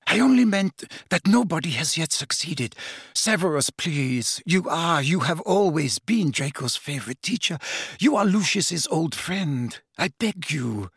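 A man voicing a desperate, crying woman pleading in a soft, whispery voice. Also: fairly high pitch, lively intonation, measured pace, slightly rough, dry recording, clean studio sound, voice pushed up, heavily acted. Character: desperate tearful mother, a woman witch.

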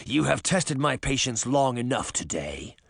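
A man's voice: mid-range voice, very animated, a little slow, gravelly, a bit bright, breathy edge, dry recording, clean studio sound, heavily acted. A man voicing a menacing old man who is part wolf in a storybook, roaring and threatening.

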